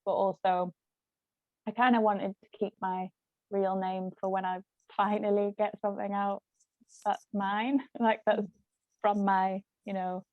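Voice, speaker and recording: A woman's voice: medium pitch, flat delivery, measured pace, dry recording, phone-quality sound. A woman chatting casually as an author and actor guest in an interview. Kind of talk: casual chat.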